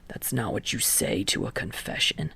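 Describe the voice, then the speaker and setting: A woman voicing a blunt teenage boy athlete in a low, breathy murmur with a flat, grumbling, halting manner for a story reading. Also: no room sound, lightly acted. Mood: flat, serious.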